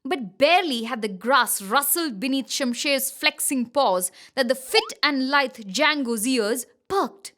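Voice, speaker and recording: A woman narrating a scripted children's moral story as an expressive, careful storyteller. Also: sits high, unhurried, few pauses.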